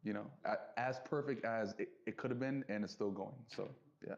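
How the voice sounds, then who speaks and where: A man talking casually and reflectively as an interview guest, earnest and halting, circling back over his phrases. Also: fairly low pitch, gravelly. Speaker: interview guest, business entrepreneur. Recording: dull lo-fi audio.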